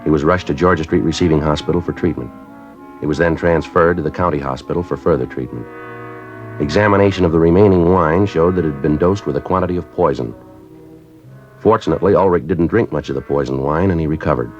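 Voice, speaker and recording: An actor playing a terse, matter-of-fact police detective who narrates the case in clipped, businesslike sentences, in a 1950s radio drama. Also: male voice, extremely low pitch, fast talker, soft background music. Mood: flat. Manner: clipped, flat, businesslike delivery. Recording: muffled vintage radio broadcast.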